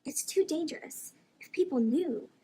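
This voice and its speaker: A woman's voice: sits high, lively intonation, normal speed, mellow, slight background noise, voice pushed up. A woman voicing an anxious but tender veiled governess in a storybook, with formal, courtly phrasing. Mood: hushed, fearful. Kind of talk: audiobook character dialogue.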